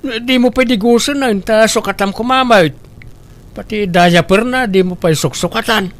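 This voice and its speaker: A man with a fairly high voice and an Ilocano accent, scolding and reproachful. He delivers lines of an audio comedy drama in plain, firm tones. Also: normal speed, a bit bright. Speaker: drama voice actor, husband. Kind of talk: Ilocano radio-style comedy drama acting.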